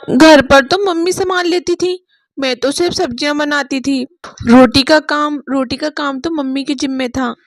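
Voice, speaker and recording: A timid young daughter-in-law, played by a woman narrator frets and doubts herself in a storybook tale. Her voice is high and anxious, recorded very dry. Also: a bit bright, no room sound. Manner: worried, self-doubting. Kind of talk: dramatized storybook dialogue.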